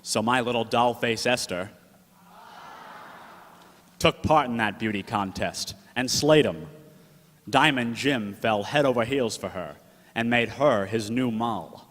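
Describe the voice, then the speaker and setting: A man's voice: medium pitch, measured pace, pauses often, a bit bright, lightly acted. A slangy 1940s wise-guy gumshoe, acted by a man, fond and protective, talking in radio-drama style. His mid-range voice rings in an echoey hall. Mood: wry narrating. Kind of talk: 1940s radio drama acting, narration and dialogue.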